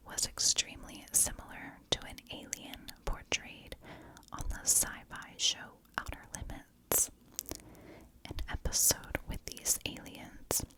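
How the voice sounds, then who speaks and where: A woman whispers her way through an ASMR true crime narration. She takes it very slowly, phrasing softly and deliberately with gaps between short phrases. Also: poor audio. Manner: soft, unhurried, deliberate phrasing with pauses.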